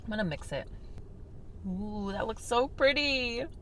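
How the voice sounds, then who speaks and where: A young woman hosting a casual vlog, chatting softly with lots of fillers while sipping a drink, her voice slightly hoarse. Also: a little high, lively intonation, unhurried, slight background noise. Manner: talking softly on purpose, filler-heavy and rambling. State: slightly hoarse from shouting the day before. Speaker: vlog host. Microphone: mic without windscreen.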